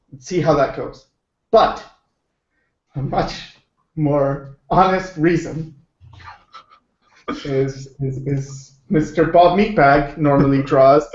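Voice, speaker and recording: Guy hosting a casual stream, kinda high voice, halting and jokey, echoey dull mic. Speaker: stream host. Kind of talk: casual live stream chat. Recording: roomy, slightly dull stream audio.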